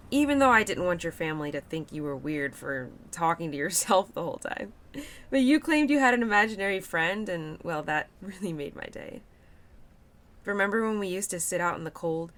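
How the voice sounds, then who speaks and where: A woman playing a playful, affectionate autumn spirit and protective childhood friend in an expressive, chatty audio roleplay. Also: on the low side, lightly acted. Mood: nostalgic, eager. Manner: expressive, chatty, teasing, with laughs and sighs. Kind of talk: girlfriend audio roleplay acting.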